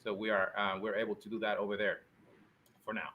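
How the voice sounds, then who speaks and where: Male medical guest from Spain, fairly low voice, conversational and halting, faint noise behind. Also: flat delivery, dull audio. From born in Spain, Puerto Rican and Spanish family. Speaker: guest, urologist. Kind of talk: conversational interview answers.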